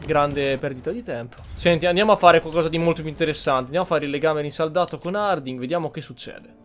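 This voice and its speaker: A man's voice: fairly high pitch, very fast. A man giving casual, blunt, critical commentary while playing a video game. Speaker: gamer commentating on a roleplay playthrough.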